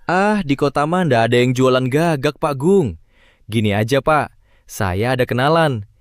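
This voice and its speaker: A man plays a cheerful, chatty neighbor, his pitch swinging up and down as he talks. The recording is close and dry. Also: normal speed, no room sound, natural voice. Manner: chatty, lively, friendly. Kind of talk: animated cartoon voice acting.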